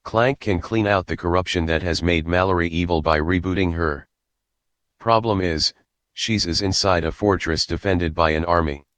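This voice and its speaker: A man's voice: low voice, normal speed, a bit bright, dry recording. A man narrating, reading a story script aloud.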